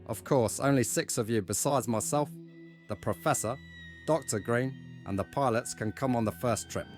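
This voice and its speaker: A man's voice: medium pitch, moderate pace, soft background music, lightly acted. A man playing a hearty, domineering explorer rousing his team with old-fashioned phrasing in an audio drama.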